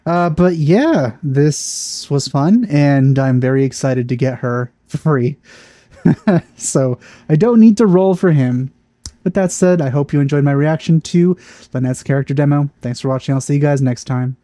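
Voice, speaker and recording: A man's voice: fairly high pitch, moderate pace, dark tone, dry recording, studio quality. A man in his thirties giving casual reaction commentary as a video host, speaking into a headset. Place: small indoor room setup with green screen.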